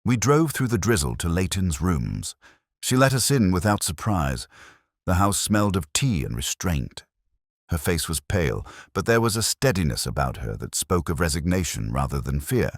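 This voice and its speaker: A man reading a scripted first-person detective story in a measured, brooding, literary manner. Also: sits low, big pitch swings, dry recording, clean studio sound.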